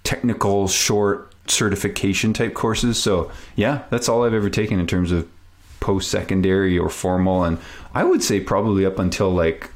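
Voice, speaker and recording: A man in his forties from Canada, a native French speaker, talking casually and reflectively as a guest in an interview. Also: fairly low pitch. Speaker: podcast guest.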